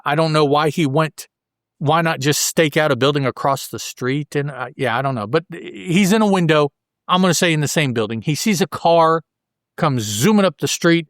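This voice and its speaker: A man hosting a podcast, casually recapping a story in a halting way as if thinking aloud. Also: a little high, a bit bright, no echo, studio quality. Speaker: podcast host. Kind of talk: casual panel recap of a comic book.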